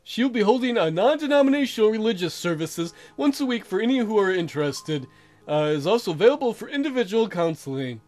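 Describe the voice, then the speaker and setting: A man's voice: sits high, big pitch swings, fairly quick, a little mellow. A man voicing an upbeat, folksy mayor making announcements to a space colony in a visual novel, with music behind. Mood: welcoming.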